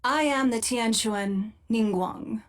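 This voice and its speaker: A woman's voice: a little high, takes it slowly. An actress voicing a dignified, composed lady official in a video game, speaking formally.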